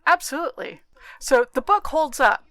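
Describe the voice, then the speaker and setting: A woman's voice: high-pitched, animated, normal speed, pushed and bright, no echo. A woman co-hosting a podcast, debating books and films casually in an assertive, matter-of-fact way. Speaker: podcast co-host. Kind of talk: casual book and film discussion.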